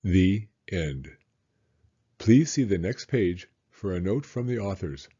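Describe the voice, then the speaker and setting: Man, children's story reader, expressive delivery, mellow tone, husky edge, slightly muffled recording. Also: low voice, takes it slowly. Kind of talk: read-aloud storybook narration.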